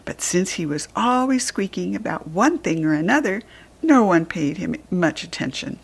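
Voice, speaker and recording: A woman with an American accent reading a children's story aloud in an expressive, deliberate storytelling style. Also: fairly low pitch, gravelly, breathy edge. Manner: animated, deliberate storytelling emphasis.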